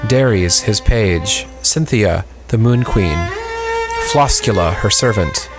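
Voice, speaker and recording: A man's voice: fairly low pitch, takes it slowly, music in the background. A man reading out a play's cast list and stage directions in a plain, unrehearsed cold-read style.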